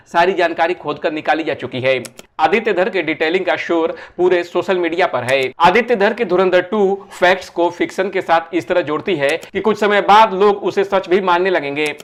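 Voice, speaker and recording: A man with a fairly high-pitched voice delivers scripted narration for a film-review video essay. He explains his points in an orderly way and uses rhetorical questions to move the argument along. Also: fairly bright tone.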